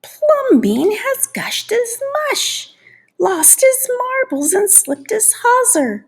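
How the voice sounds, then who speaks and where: A woman voicing scandalized, fussy storybook neighbors, exclaiming in drawn-out, emphatic phrases. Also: extremely high pitch, very animated, voice pushed up, heavily acted.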